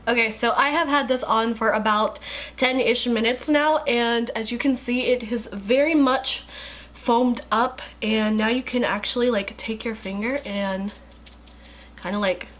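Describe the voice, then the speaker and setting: Young woman w a cold, stuffy nose, rambly beauty vlog chat, kinda high voice. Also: steady tone, a little slow, a bit bright, soft background music. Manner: chatty, frequent likes and ums. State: congested from a cold. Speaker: beauty vlogger. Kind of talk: casual product review.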